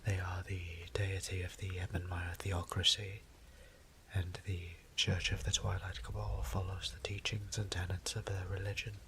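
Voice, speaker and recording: A man playing a weary, tender vampire priest in an intimate audio roleplay, whispering breathily with halting, formal speech. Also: sits low, monotone, a little hiss or hum. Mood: solemn, troubled. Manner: hushed, halting, formal wording. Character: weary, tender vampire priest, adult male, troubled exile.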